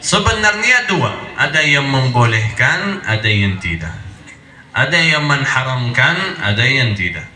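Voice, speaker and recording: Bright-voiced preacher with a cold. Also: male voice, mid-range voice, sing-song, a little slow, roomy sound. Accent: Arabic-accented Indonesian. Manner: call-and-response with the crowd, emphatic. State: has a cold. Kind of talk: sermon to a live congregation. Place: outdoor religious gathering on a street.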